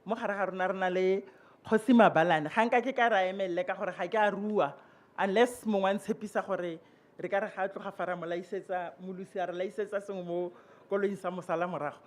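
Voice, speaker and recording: High-voiced man hosting a ceremony. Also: fairly even intonation, fast talker. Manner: switches between Setswana and English. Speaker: program director of a ceremony. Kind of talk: ceremony MC announcements.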